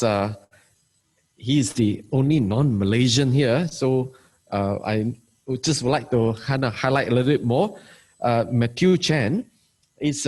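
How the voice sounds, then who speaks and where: A man's voice: medium pitch, a little mellow, rough recording. Man moderating a forum panel. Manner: summarizing, polite, "kind of" fillers. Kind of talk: introducing speakers.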